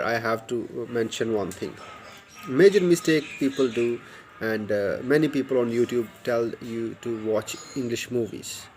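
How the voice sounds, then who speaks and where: A man's voice: mid-range voice, a little mellow. A man in his thirties from Pakistan, whose first language is Urdu, speaks English with a Pakistani accent. He gives an earnest, casual lesson straight to a phone camera outdoors, often repeating and restarting words. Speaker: YouTube English tutor. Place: outdoors by a brick wall. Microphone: wired earphone mic, phone at arm's length.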